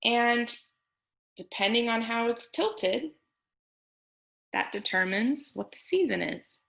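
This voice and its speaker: A woman reading a picture book aloud as a teacher on a video call, pausing to explain words simply for children. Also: fairly high pitch, animated, vocal fry, fairly bright tone. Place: online video meeting with screen sharing.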